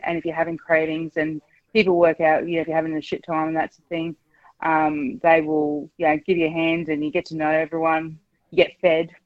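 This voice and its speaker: A woman's voice: sits low, completely flat delivery, a little fast, dry recording, narrow, tinny audio. A woman, calling a radio show and speaking frankly, with many hesitations. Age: 40s. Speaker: caller. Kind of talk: candid phone-in chat.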